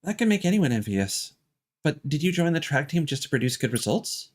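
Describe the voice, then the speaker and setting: A man's voice: fairly high pitch, very animated, a little fast. A man voicing a supportive, sincere teenage girl heroine, reading her game dialogue in a reassuring way. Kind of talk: reading video game dialogue aloud in character.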